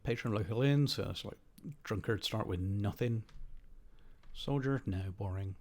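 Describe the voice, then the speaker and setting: A slightly hoarse man giving rambling, wry, opinionated commentary while playing a game. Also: lowish voice, normal speed, darkish tone. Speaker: gaming host. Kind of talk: casual gameplay commentary.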